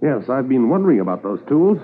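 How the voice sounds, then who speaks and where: Actor as animated police corporal, old radio. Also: male voice, medium pitch, fairly quick, close to an everyday voice. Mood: alert. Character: eager, observant police corporal, loyal sidekick. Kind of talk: 1950s radio acting. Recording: noisy, tinny 1950s radio recording.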